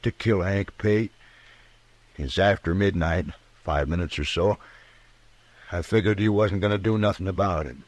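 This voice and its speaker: An actor playing a vengeful Old West outlaw in a radio drama, with an American country accent and a sullen, defiant delivery. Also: male voice, low voice, moderate pace, pauses often, no room sound. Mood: cold, defiant. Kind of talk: 1950s radio western acting.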